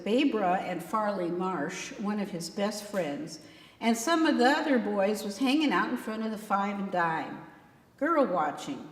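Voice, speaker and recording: A woman author narrating her novel in a folksy, expressive storytelling style. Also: mid-range voice. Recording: echoey room with long reverb.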